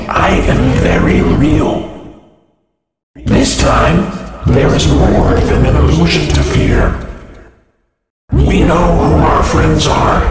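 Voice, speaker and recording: A man's voice: a little high, heavy reverb, long reverb tail. A man voicing a threatening ragged bear animatronic in a game.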